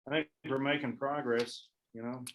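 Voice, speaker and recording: An easygoing man talks loosely through plans at a local board meeting. His voice sits at a middle pitch. He drifts and trails off as he talks. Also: normal speed. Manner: relaxed, informal, trailing off. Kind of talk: casual meeting discussion. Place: meeting room.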